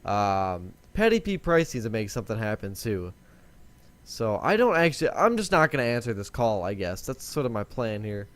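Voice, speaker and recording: A young man hosting a casual gaming video, rambling and chatty as he corrects himself, with a voice he says is lower than usual today. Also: big pitch swings, normal speed, fairly bright tone. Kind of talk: casual let's-play commentary.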